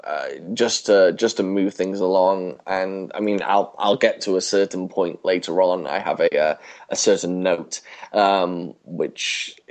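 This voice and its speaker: A man with a fairly low voice chats casually as a podcast co-host. He speaks haltingly, often rewording his thoughts as he goes along. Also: darkish tone. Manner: hesitant, halting, rephrasing as he goes. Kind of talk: casual podcast discussion of a TV show.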